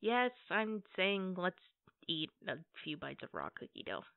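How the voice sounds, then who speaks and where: A woman's voice: on the low side, very animated, unhurried, creaky, no echo, full character voice. Woman playing an affectionate girlfriend, dry tinny audio. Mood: playfully scolding. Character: affectionate, playful girlfriend baking cookies at home. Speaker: roleplay ASMR performer. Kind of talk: casual girlfriend roleplay ASMR. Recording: tinny, phone-like band-limited audio.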